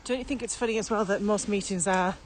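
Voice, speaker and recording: British woman chatting over clear ambient noise. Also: mid-range voice, steady tone, quick pace. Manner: questioning, acts out quotes. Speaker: podcast co-host and trainer. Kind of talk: casual walking podcast chat. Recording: noisy walking recording with ambient sound.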